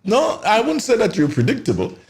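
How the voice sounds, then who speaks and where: A man's voice: sits high, wildly swooping pitch, fairly quick, gravelly. A man hosting a morning radio show, chatty and teasing, often repeating his phrases. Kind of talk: casual radio interview chat.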